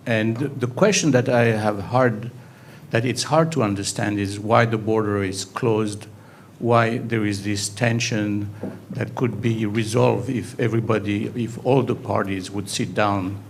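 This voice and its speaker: Casting: lowish, gravelly male audience member from Morocco, earnest and halting, faint noise. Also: steady tone. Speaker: audience member asking a question.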